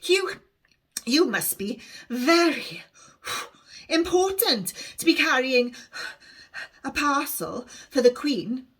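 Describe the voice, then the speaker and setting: A woman's voice: sits high, very animated, takes it slowly, pauses often, fairly bright tone, slightly rough, voice pushed up, heavily acted. A woman voicing an eager, wide-eyed young girl in a Tudor storybook, breathless and full of questions. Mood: breathless awe.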